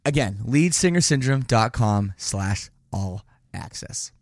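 A man hosting a podcast, slightly hoarse, chatting casually with upbeat, rambling asides. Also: mid-range voice, animated, slow pace, no echo, studio quality. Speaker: podcast host.

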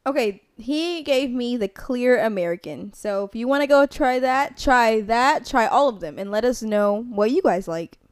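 A woman's voice: a little high, lively intonation, normal speed, hardly any pauses, clear, resonant tone. A young woman co-hosting a casual podcast chat, rambling with lots of filler words. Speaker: podcast co-host.

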